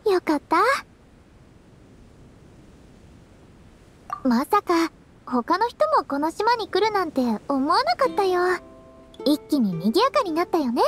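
A woman voicing a sweet, earnest teenage girl priestess in a Japanese anime game, gentle, girlish and a little shy. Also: extremely high pitch, big pitch swings, moderate pace, exaggerated voice. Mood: tender. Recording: studio voice over game music.

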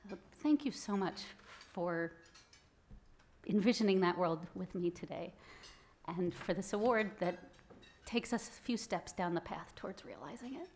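A woman's voice: on the low side, normal speed, slight background noise. A woman in her thirties giving an earnest acceptance speech into a stand microphone in an event hall, stressing key phrases. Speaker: award recipient giving speech. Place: event hall with audience, indoors. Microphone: stand mic at podium.

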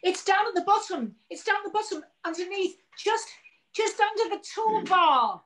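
Woman as a high-pitched, melodramatic pantomime bride. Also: expressive, moderate pace, fairly bright tone. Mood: fretful. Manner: gushing, exaggerated theatrical delivery. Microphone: video call.

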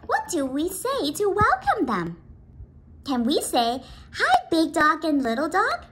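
A young woman leading an interactive preschool storytime, animated and exclaiming, coaxing listeners with questions and drawn-out words. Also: sits high. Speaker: children's storytime teacher. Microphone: phone or tablet video call, close to face. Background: music.

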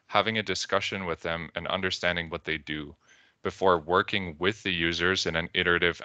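A man's voice: low-pitched, sharp tone, smooth, no room sound. A young man with a Canadian accent giving a conference presentation over a video call, telling a story and quoting characters along the way. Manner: storytelling with quoted voices. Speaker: conference speaker. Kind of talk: presentation talk.

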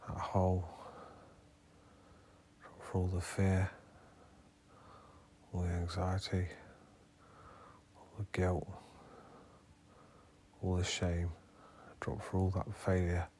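A man leading a guided hypnotherapy meditation as a therapist, speaking in hushed, instructing, spaced-out phrases. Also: low voice, completely flat delivery, slow pace, long pauses, a little hiss or hum.

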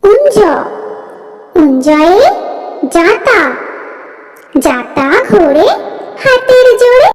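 Woman, extremely high voice, singsong children's rhymes, animated intonation, long echoing reverb. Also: drawn-out words, long pauses. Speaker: repeater of children's alphabet rhymes. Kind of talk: slow singsong nursery rhyme recitation for children. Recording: long reverb, music behind. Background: music.

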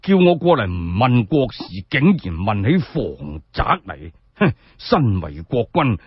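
A man's voice: medium pitch, sing-song, a little slow, breathy edge, no echo, highs cut off, pitched below the performer's own voice. A man voicing a stern, dignified elderly sage in a radio storytelling, speaking in solemn, admonishing tones. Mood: indignant.

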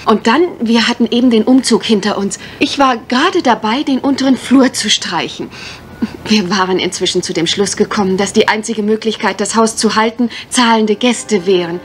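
An actress in a mystery radio play as an anxious, well-bred young parson's daughter, speaking politely and hesitantly in careful detail. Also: female voice, medium pitch, normal speed, natural voice. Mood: polite, firm. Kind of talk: 1980s German mystery radio play acting. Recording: faintly noisy old radio recording.

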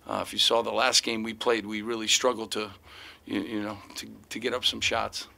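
Man, middle-aged, basketball coach, bright tone, table mic, rambling with fillers. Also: lowish voice, level tone, moderate pace, gravelly. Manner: rambling, frequent "you know" fillers and restarts. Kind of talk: postgame press conference answers. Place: press room in front of sponsor backdrop. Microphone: table mic in front of him.

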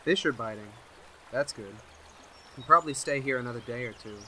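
An actor voicing a lone survivor in a radio drama, speaking in a quiet, weary, inward way. His tone is bright and pointed, with faint birdsong and outdoor noise behind him. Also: medium pitch, a little fast, lightly acted. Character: lonely young man surviving alone in wilderness.

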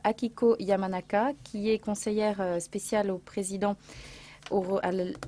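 A woman in her forties with an Australian accent, hosting and introducing a conference panel. She speaks slowly and carefully into a handheld microphone on stage in a large hall. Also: mid-range voice, a little mellow. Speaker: panel host and news presenter.

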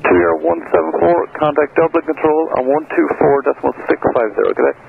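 A man's voice: on the high side, fast, gravelly. A man working as an air traffic controller, giving clipped, procedural, businesslike instructions over aviation radio. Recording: noisy, narrow-band air traffic radio.

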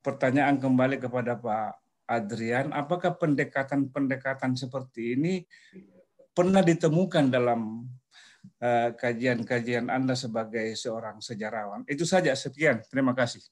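A man, asking a question in an online discussion in a deliberate, halting way. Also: medium pitch. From Indonesia. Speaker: seminar participant asking questions. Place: small office, indoors. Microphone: video call, laptop at desk distance.

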